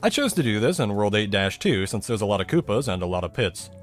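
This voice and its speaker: A man's voice: fairly low pitch, very animated, fast. A man giving a scripted, explanatory video narration in a conversational way, with dry asides and rhetorical questions. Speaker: video creator narrating a technical game explainer. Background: music bed under the voice-over.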